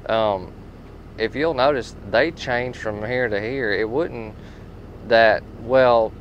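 A man's voice: mid-range voice, slow, dull audio. A man talking casually and folksily as a tool dealer showing products. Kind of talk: casual product talk and storytelling. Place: inside a tool truck. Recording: steady background noise.

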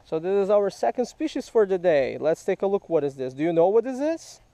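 A man's voice: high voice, big pitch swings, a little fast, dark tone, dry recording. A man patiently coaching and quizzing a child while fishing outdoors by a creek, recorded on a head-mounted camera. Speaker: fishing vlogger. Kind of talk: casual teaching chat while fishing. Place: outdoors by a small creek in a park. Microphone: head-mounted action camera.

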